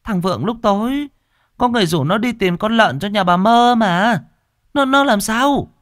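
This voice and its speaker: A man's voice: high-pitched, expressive, normal speed, fairly bright tone, no room sound, clean studio sound, voice pushed up. A man voicing a middle-aged village woman anxiously asking what happened to her son. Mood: frantic. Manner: stammering, frantic.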